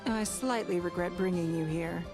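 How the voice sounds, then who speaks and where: A woman's voice: on the low side, moderate pace, lightly acted. A woman playing a refined, composed noblewoman in a video game, speaking in a formal, authoritative way. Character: poised, refined noblewoman, composed and mildly exasperated. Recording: background music under voice.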